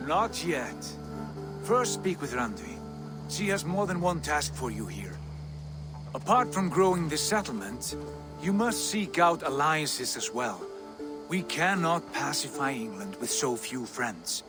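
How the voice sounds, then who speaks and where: An animated, commanding Viking leader. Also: male voice, fairly high pitch, a little slow, music in the background. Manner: authoritative, formal. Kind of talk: dramatic game cutscene acting.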